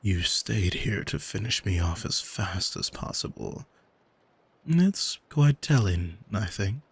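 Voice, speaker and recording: Man playing a husky, slow-talking arrogant demon. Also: deep voice, animated, no echo, full character voice. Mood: smug, taunting. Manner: smug, deliberate, soothing, commanding. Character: arrogant male demon, soul-owning manipulator, calm and seductive. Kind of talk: ASMR audio roleplay, hypnotic coaxing.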